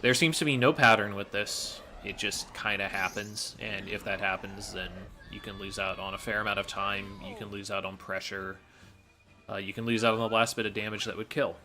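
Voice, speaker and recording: A man's voice: on the low side, steady tone, moderate pace, over music. A man giving explanatory gameplay commentary as a speedrunner, analytical and matter-of-fact.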